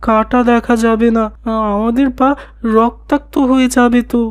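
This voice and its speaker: A woman voices an earnest, anxious young boy from a cartoon. The delivery is high, slow and questioning, recorded very dry. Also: little pitch movement, no room sound, close to an everyday voice. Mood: worried, questioning. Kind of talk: cartoon voice acting.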